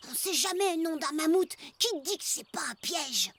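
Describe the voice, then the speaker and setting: A woman playing an excitable cartoon caveboy, boastful and easily scared, talking in dramatic exclamations. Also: very high-pitched, moderate pace, slight background noise. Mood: boastful, unsure. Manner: exclamatory, dramatic, mock-heroic. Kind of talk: animated children's cartoon dub. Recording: loud music behind the voice.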